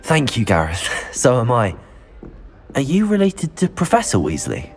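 A man's voice: mid-range voice, wildly swooping pitch, a little slow, soft background music. A young man playing a polite, modest new wizard student, courteous and reserved. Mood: polite, curious. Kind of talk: scripted game dialogue.

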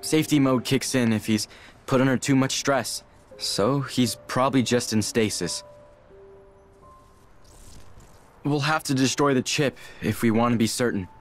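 A man's voice: medium pitch, moderate pace, faint music behind, close to an everyday voice. A young man voicing an earnest, loyal teenage boy inventor in a video game cutscene. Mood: subdued, resolute. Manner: earnest, emotional delivery.

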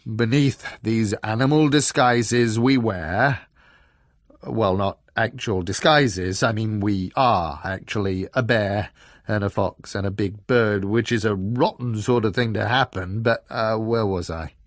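A man voicing a gloomy, pompous bear with a heavy, rumbling voice in a storybook reading. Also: unhurried, dry recording, clean studio sound, close to an everyday voice. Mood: pompous, muddled. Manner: ponderous, blustering. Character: grumbling, gloomy, pompous bear, a thunder god in disguise.

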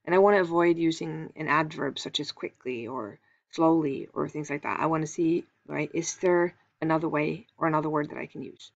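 A Norwegian woman teaching a screen-recorded lesson, explaining calmly and thinking aloud with small fillers. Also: deep voice, a little fast, vocal fry, highs cut off.

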